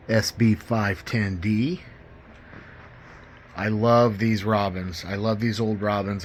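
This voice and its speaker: A man's voice: lowish voice, a little hiss or hum. A middle-aged man casually demonstrating gear as a hobbyist video host, in a folksy, enthusiastic and informal manner.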